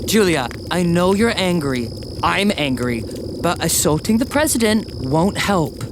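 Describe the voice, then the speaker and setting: A man playing a considerate, sensible friend who reasons gently in an audio drama. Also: high-pitched, big pitch swings, a little slow, heavily acted. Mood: placating. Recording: clear noise behind the voice.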